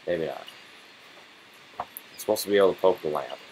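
A man's voice: low-pitched, a little fast, a little mellow, slight background noise, poor audio. A man giving casual, foul-mouthed and grumbling commentary as he plays a video game. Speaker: let's-play host playing and commenting. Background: video game sound.

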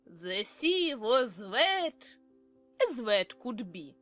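A woman plays a pompous twin brother in an audiobook play. He sounds bright and contrary, reciting slowly with big swings in pitch. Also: very animated, faint music behind, heavily acted. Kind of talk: dramatized audiobook acting.

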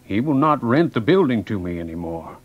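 A man playing a kindly, gentle, wise old woodcarver with a husky voice in a children's story drama. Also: mid-range voice, very animated, a little fast, lo-fi sound, slight background noise, natural voice. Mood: sad, resigned.

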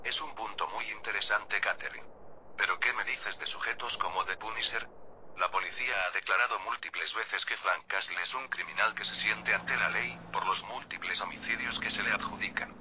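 A man's voice: fairly low pitch, normal speed, pushed and bright, a little hiss or hum. A man playing a polished radio talk show host, reading a scripted broadcast in a formal way. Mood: formal, presenting.

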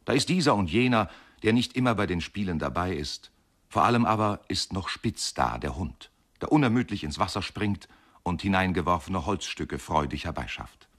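Reflective man narrator, deep voice, measured expressive delivery, literary radio drama. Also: husky, full character voice. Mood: reflective, wondering. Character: reflective male narrator recalling a riverside boyhood. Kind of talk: literary scripted narration, 1970s German radio play.

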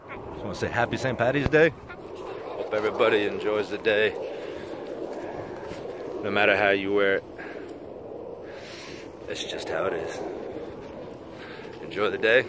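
A man's voice: fairly low pitch, unhurried, long pauses. A man in his thirties chatting casually to a phone held at arm's length outdoors, in an easygoing, folksy way. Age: 30s. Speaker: vlogger. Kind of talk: casual vlog chat. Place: outdoors on a waterfront pier and marina. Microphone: handheld phone at arm's length. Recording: noisy outdoor phone audio, wind and water.

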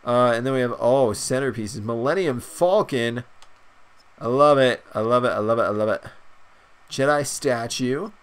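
A young man with a stuffed-up nose, chatting excitedly and rambling through a video game's shop menus as a gaming channel host. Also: mid-range voice, moderate pace, clear, resonant tone. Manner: excited, rambling, many fillers. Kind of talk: casual gameplay commentary.

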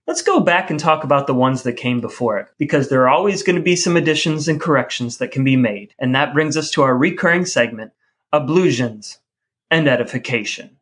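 An American man co-hosting a podcast in an articulate, measured, scripted delivery. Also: fairly high pitch, a bit bright. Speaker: podcast co-host. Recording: guitar music behind the voice. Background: guitar music.

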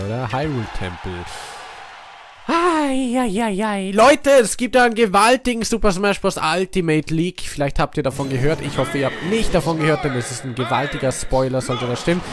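Young guy w a stuffy nose, lively up-and-down voice, game music going behind him. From Austria. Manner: excitable, swings between hyped shouts and low mumbling. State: congested from a cold. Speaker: gaming channel host. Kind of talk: casual let's play commentary.

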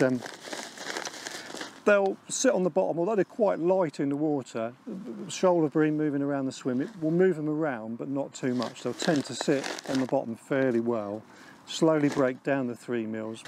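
Gravelly man explaining by a lake. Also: mid-range voice, background noise. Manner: measured, explanatory, talks with his hands. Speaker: angling expert. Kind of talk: instructional piece to camera. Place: outdoors by a lake. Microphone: lapel mic.

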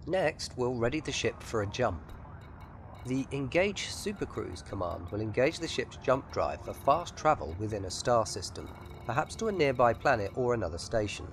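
A man's voice: medium pitch, very animated, normal speed, slight background noise. A man giving scripted instructional narration as a tutorial narrator for a game voice pack. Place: voice-over with music behind.

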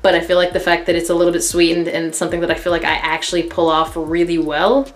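A woman's voice: lowish voice, level tone, fast, faint music behind. A woman in her thirties casually reviewing a fragrance to camera from her living room, candid and chatty with many fillers. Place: living room in front of a couch, indoors.

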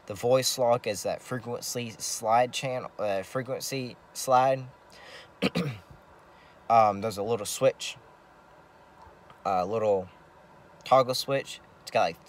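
A man giving a casual, rambling gear demonstration as a vlogger, halting often and repeating himself. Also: medium pitch. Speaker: vlogger showing CB radio gear.

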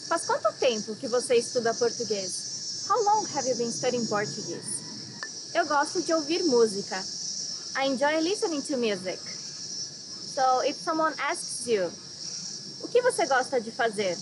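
A young woman from Brazil teaching phrases outdoors, explaining and modeling each answer. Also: high voice. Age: 20s. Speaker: language teacher. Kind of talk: scripted phrase lesson. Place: outdoors among greenery. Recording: outdoor insect noise.

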